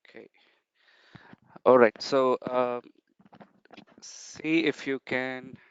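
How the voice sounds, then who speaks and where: A man running an online sales training session, explaining step by step and often pausing with fillers and checks like "okay". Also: medium pitch, fairly even intonation, smooth, no echo. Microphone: video call. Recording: dull, dry video call audio.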